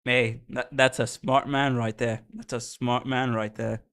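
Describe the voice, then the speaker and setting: A male streamer with a light Indian accent mixed with American and British tones, chatting casually and excitedly with his viewers. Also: mid-range voice, measured pace, smooth. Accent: Indian, blended with American and British. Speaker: streamer reacting to game music. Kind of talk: casual live-stream chat.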